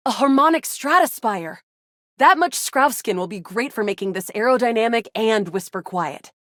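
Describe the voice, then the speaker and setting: A woman voicing a feisty, excitable young resistance fighter in a sci-fi video game, with a dramatic, playful delivery. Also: a little high, normal speed, no room sound, clean studio sound. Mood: confident, chatty. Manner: animated, dramatic, playful banter. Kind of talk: video game character voice acting.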